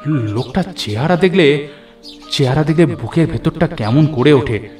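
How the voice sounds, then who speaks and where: A man with a slightly high voice narrates a horror tale in the first person. His delivery is theatrical and suspenseful, with strong echo and music underneath. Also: lively intonation, normal speed, darkish tone. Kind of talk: dramatic scripted horror-story narration.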